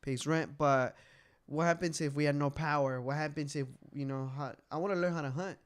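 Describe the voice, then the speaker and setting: A man's voice: a little high, fairly even intonation, brisk, smooth. A man in his late twenties chatting casually and candidly on a podcast, rambling, repeating himself and swearing loosely. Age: late 20s. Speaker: podcast co-host.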